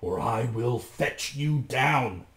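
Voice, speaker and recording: Bluebeard, storybook villain, read by a man: cruel husband, slow heavy threats, echoey room. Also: fairly low pitch, animated, darkish tone, pitched below the performer's own voice. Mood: shouting.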